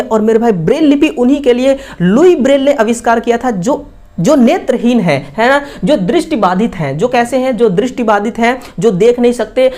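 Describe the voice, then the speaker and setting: A woman with an Indian accent teaching exam questions, repeating key facts as quick rhetorical questions with frequent checking tags. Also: on the high side, dark tone. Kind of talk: exam question analysis lesson.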